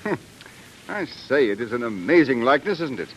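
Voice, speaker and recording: A man playing a polite, sceptical American ambassador in a 1940s radio drama, speaking in a measured, formal, slightly hesitant way. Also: very animated, rough recording. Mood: courteous, curious. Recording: old radio broadcast, faint noise and rumble.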